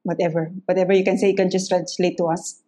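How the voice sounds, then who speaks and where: A woman hosting an interview, asking questions in an informal, halting way. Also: fairly low pitch, little pitch movement, warm tone. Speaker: host. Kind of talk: casual interview.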